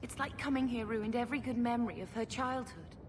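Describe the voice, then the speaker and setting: An actress playing an earnest, concerned young woman in a fantasy game, gentle and questioning. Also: on the high side, a little fast, in a large hall, noisy background, lightly acted. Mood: troubled. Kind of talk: fantasy video game acting.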